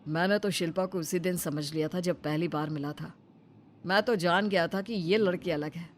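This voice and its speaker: Woman voicing an earnest, soft-willed young husband. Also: on the low side, normal speed, a little hiss or hum, lightly acted. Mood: admiring. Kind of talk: Hindi moral-story voice acting.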